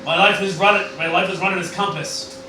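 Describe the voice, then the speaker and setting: Man acting despairing old scientist, Shakespearean radio parody, halting declamation, very echoey, distant noisy mic. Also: high-pitched, fairly even intonation. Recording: very echoey, distant microphone, loud noise.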